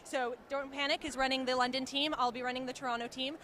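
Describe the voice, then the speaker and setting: A woman's voice: high voice, fairly even intonation, fast talker, a bit bright, a little hiss or hum. A young woman who organizes a cosplay group, explaining their charity events fluently and eagerly in an interview at a convention. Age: young adult. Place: outdoors at a busy convention.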